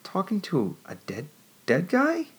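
A wide-eyed, excitable young woman, as a man reading a story puts her on. Her voice jumps high and breathless, tripping over words in a lively, swooping way. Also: takes it slowly, exaggerated voice. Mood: wonderstruck.

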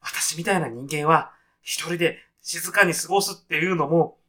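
A man's voice: on the high side, normal speed, background noise, close to an everyday voice. A man playing a timid, straitlaced salaryman in a comic radio sketch, speaking politely, hesitantly and with reserve. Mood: hesitant, uneasy. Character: timid, straitlaced salaryman newly arrived in heaven.

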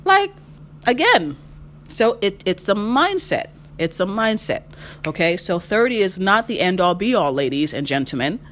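A woman in her mid-thirties hosting a solo podcast, giving emphatic advice, repeating her key phrases and swinging her pitch when she makes a point. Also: sing-song, unhurried, fairly bright tone, a little hiss or hum. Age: 30s. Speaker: podcast host.

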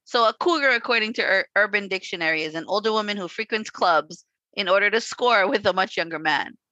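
A woman in her forties co-hosting a casual chat, frank and animated, laughing often and swearing freely. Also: mid-range voice, a little fast, no echo, dull audio. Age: 40s. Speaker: podcast co-host.

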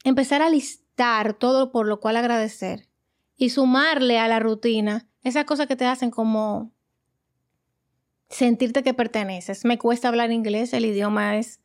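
A woman's voice: fairly high pitch, steady tone, unhurried. A woman from the Dominican Republic with a Dominican Spanish accent, speaking as a podcast guest in a frank, reflective conversation. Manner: reflective, frank about struggles. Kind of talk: casual conversation.

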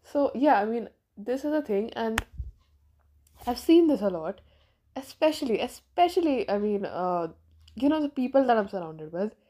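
A woman's voice: on the high side, lively intonation, moderate pace, mellow. A nineteen-year-old woman from India hosting her own podcast, thinking aloud in a rambling, casual way with lots of fillers and restarts. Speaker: podcast host. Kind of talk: casual solo podcast monologue.

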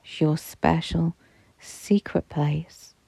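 A woman guides a visualization meditation with a soft, soothing manner, her voice low-pitched and warm. She speaks very slowly, letting each phrase settle, and at times sinks nearly to a whisper. The recording is close and dry, with no room sound. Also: little pitch movement, vocal fry, slightly rough, no room sound, low-quality recording.

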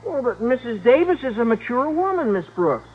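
An actor playing an overeager, earnest American high school boy with a cracking adolescent voice in a radio comedy. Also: very animated, moderate pace. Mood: earnest, gushing. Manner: gushing, exaggeratedly earnest. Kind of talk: old-time radio situation comedy acting. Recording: tinny vintage radio recording, noise, studio audience.

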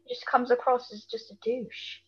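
A woman's voice: fairly high pitch, level tone, moderate pace, husky, muffled recording. A woman co-hosting a podcast in casual chat, reacting with informal, blunt asides and questions. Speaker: podcast co-host.

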